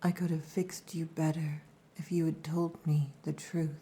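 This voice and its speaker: A woman playing a possessive, gruff yet tender orc chieftain's daughter in an ASMR roleplay, breathy and often whispering, with a deliberate, dominant, teasing manner. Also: low-pitched, little pitch movement, warm tone. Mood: grumpy, probing.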